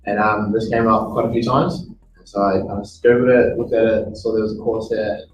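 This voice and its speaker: A man's voice: lowish voice, flat delivery, fairly quick, noisy background. A young man with a New Zealand accent, a trade student, answering in a plain, matter-of-fact way. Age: young adult. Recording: echoey room, distant mic, music behind.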